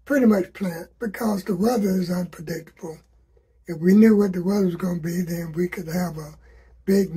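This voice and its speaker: A hoarse man from South Carolina, giving folksy gardening advice to his viewers outdoors and repeating his sayings to drive them home. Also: sits high, warm tone, rough recording. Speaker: gardening vlogger.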